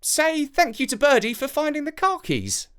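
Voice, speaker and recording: Young boy acted by a storyteller, very high, excitable, eager, swooping storybook delivery. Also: moderate pace, heavily acted. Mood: insistent. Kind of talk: children's storybook character voice.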